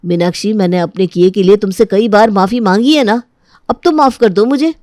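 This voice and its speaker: A woman's voice: medium pitch, brisk, darkish tone, no echo, studio quality, close to an everyday voice. A woman playing an apologetic, worried husband in a dramatized family story. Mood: worried, pleading.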